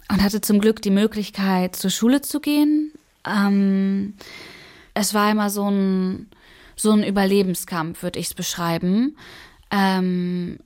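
A woman's voice: mid-range voice, darkish tone. A young woman from Afghanistan in her twenties, telling her own story in a documentary interview, hesitant with many fillers and emotional.

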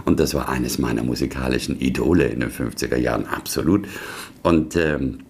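A man's voice: very deep voice, fairly quick, a little mellow. An older man from South Tyrol telling anecdotes in a chatty, digressive way, often tagging his sentences. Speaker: interviewee, performer. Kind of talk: casual anecdotal storytelling.

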